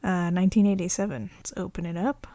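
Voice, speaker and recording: A woman speaking softly and often in a near whisper, in a relaxed, chatty ASMR show-and-tell. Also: on the low side, fast talker, dry recording. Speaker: ASMR video creator showing a collection.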